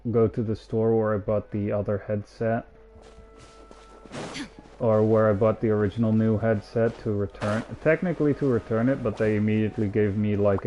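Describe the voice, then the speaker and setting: A man's voice: lowish voice, mellow. A man chatting in a casual, rambling way as a game streamer, speaking into a headset mic. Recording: dull headset mic, faint noise.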